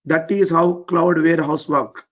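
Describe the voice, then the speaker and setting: A man with an Indian English accent teaching a live technical class. His voice is weak and low, and he keeps repeating his cues to move on step by step. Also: fairly even intonation, a little slow, dull audio. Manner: repetitive, keeps saying "let's get started, step by step". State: voice a bit weak and low from overuse. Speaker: trainer.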